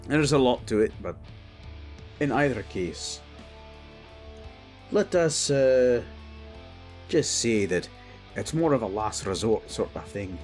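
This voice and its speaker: A man's voice: mid-range voice, lively intonation, takes it slowly, pauses often, a little mellow, faint music behind. A man playing a proud, battle-hardened old dwarf king in a fantasy audio drama, speaking in a boastful, commanding, folksy way.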